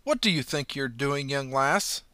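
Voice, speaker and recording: A man's voice: medium pitch, animated, unhurried, dry recording. A man voicing a stern adult female police constable in an audiobook, firm and commanding. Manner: firm, commanding, shouts when chasing. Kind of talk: audiobook character voice.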